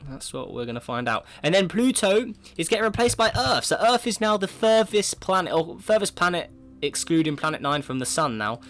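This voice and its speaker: A man's voice: sits high, very animated, brisk, fairly bright tone. A man with a cold and a sore throat, chatting casually as he comments on a space simulation game, thinking aloud and often starting with "right, so". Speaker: gaming video creator.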